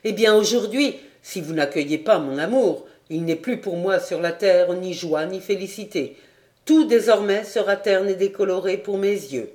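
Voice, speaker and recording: A woman's voice: medium pitch, moderate pace, mellow, lightly acted. Woman reading an earnest resolute young nobleman. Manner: earnest, declaiming.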